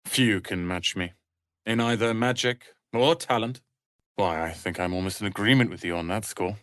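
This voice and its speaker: An actor playing a proud, irritable young male wizard apprentice in a fantasy video game, haughty, theatrical and sharp-tongued. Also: lowish voice, normal speed, no room sound, clean studio sound, close to an everyday voice.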